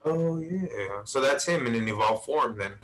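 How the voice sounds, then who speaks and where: A man with a bright, forward voice hosts a relaxed-worded chat, informal and conversational like talk between friends. Music runs underneath him. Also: mid-range voice, unhurried. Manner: informal, saying "man" and "bro" often. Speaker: host. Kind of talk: casual chat about video game movies. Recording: music playing behind the voice.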